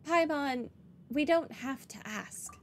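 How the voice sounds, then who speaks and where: High-pitched woman giving wry game commentary. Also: slow pace, noisy background. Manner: wry, joking asides. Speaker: game streamer. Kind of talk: casual playthrough commentary. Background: game music.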